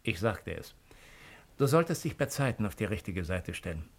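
An actor playing a cold, calculating Roman imperial agent in a radio drama, speaking in a measured, insinuating way. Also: male voice, lowish voice, big pitch swings. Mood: cool, self-assured. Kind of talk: 1950s German radio drama acting.

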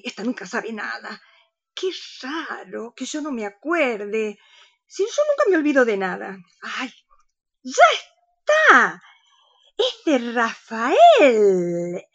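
A woman's voice: on the high side, fairly bright tone, muffled recording, full character voice. A woman playing a bossy, vivacious older lady in a comedy radio play, with a Rioplatense Argentine accent and an exclamatory, theatrical manner.

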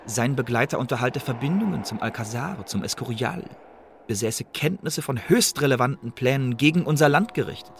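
An actor playing an uneasy secret agent in a radio play, giving formal, clipped reports with agitated edges. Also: male voice, medium pitch, slight background noise, close to an everyday voice. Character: male secret agent Leander reporting anxiously to his superiors.

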